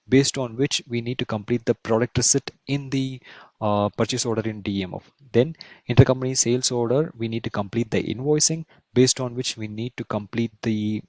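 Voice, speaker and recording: A man instructing through a screen-share software tutorial, explaining step by step and often rephrasing and checking with tag questions. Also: medium pitch, no room sound.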